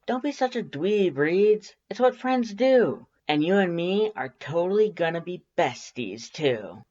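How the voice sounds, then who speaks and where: A woman voicing a brash, excitable female monster best friend in a fan-fiction audiobook, loud, emphatic and teasing. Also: on the low side, measured pace, muffled recording, pitched above the performer's own voice. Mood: fond, insistent.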